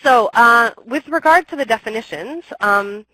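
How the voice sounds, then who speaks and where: A woman's voice: a little high, lively intonation, normal speed, bright, forceful tone. A young woman with a slight cold, her voice a little scratchy, presenting a webinar talk from home over a computer mic, explaining steadily with ums and restarts.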